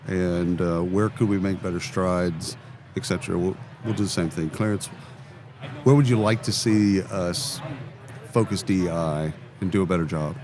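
Man, low-pitched, casual and affable podcast host; faint live event noise. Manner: friendly, casual, frequent affirmations. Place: trade event booth.

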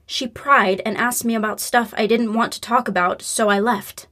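Sullen, curt teenage daughter. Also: female voice, fairly high pitch, fairly even intonation, moderate pace, pushed and bright. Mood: defensive, sullen. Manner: curt, defensive. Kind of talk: naturalistic audio drama acting.

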